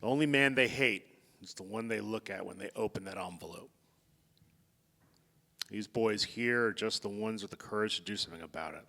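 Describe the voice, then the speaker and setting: A man playing a grave, weary army general in a live staged reading, speaking in measured, declamatory phrases. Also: on the low side, creaky, bright, forceful tone. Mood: grave, bitter. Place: theatre stage before an audience. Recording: live theatre stage, long hall reverb.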